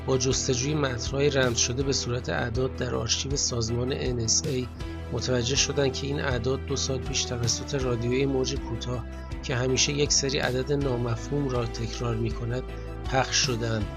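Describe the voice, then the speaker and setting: A man with an Iranian Persian accent narrating a scripted documentary in a measured, formal storytelling manner. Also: medium pitch, flat delivery, gravelly. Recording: music bed under the voice.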